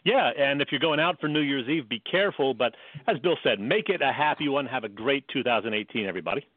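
Middle-aged man, sports radio co-host, average pitch, dry thin phone-like sound. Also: quick pace, no room sound. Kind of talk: sports talk with scripted commentary segments.

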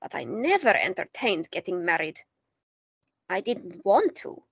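A woman playing an older Greek-born artist and grandmother, calm and dryly teasing in an audio drama. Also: fairly low pitch, a little slow, creaky, husky, dry recording, full character voice. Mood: wry. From Greece.

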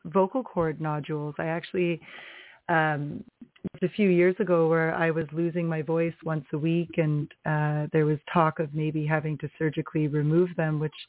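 A woman's voice: deep voice, no echo. Woman poet on a tinny phone line. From Canada. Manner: thoughtful, searching, many fillers. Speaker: poet guest. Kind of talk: casual interview talk.

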